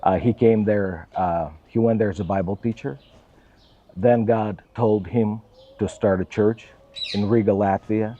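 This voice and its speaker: A man's voice: fairly low pitch, fairly even intonation, measured pace, dull audio. A middle-aged man from Russia speaking English with a Russian accent, a church assistant answering interview questions earnestly and with careful, self-taught phrasing.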